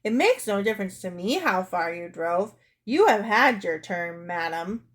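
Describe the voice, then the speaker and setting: A woman's voice: medium pitch, animated, takes it slowly, a bit bright. A woman voicing a rude, childish, disagreeable father in a children's story, taunting and petulant.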